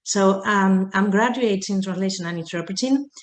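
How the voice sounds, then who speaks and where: A woman's voice: mid-range voice, moderate pace, echoey room. A woman, speaking earnestly on an online panel and often saying "okay" as a filler. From Spain. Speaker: panelist, logistics manager. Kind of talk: online round table answer. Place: home or office on a video call. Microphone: video call microphone.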